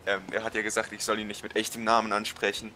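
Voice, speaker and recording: Young male streamer, very low voice, slangy and jokey, faint music underneath. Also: completely flat delivery, quick pace, sharp tone. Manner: slangy, joking, swears and laughs. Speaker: game streamer. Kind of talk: casual gaming stream chat.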